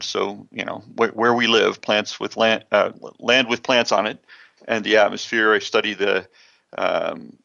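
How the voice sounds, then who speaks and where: A man with a deep, gravelly voice that carries a bright, sharp edge. He speaks in a halting way with many fillers, and a twinkle comes through in his tone. The audio is dull and lo-fi, as if coming in over a call. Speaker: panelist, professor. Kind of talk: casual radio panel talk.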